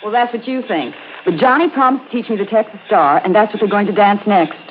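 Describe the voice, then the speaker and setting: A woman's voice: medium pitch, quick pace, lightly acted. An actress playing a fussy, insistent young woman in an old-time radio drama. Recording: tinny, noisy old radio recording.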